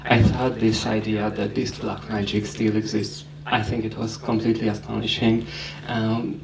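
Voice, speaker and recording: A man, a film critic, asking the filmmakers measured, hesitant questions at a festival. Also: on the low side, flat delivery, gravelly, background noise. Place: festival hall with audience. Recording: distant mic in a crowded hall.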